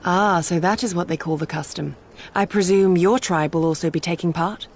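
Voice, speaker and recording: A woman's voice: fairly low pitch, normal speed, a little mellow, a little hiss or hum, close to an everyday voice. An actress playing a grave, devoted woman warrior in a fantasy game, speaking formally and with deference. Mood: questioning. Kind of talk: fantasy game cutscene acting.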